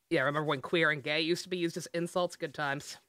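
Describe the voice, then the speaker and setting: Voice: mid-range voice, a bit bright, no room sound, clean studio sound. A game streamer giving casual, rambling live commentary, peppered with swearing and exclamations.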